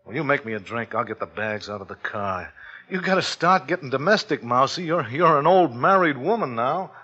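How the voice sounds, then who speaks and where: A man's voice: medium pitch, lively intonation, moderate pace, close to an everyday voice. An actor playing a controlling, suspicious husband in a 1940s radio thriller, with clipped tough-guy talk that is both soothing and threatening. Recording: dull old radio recording.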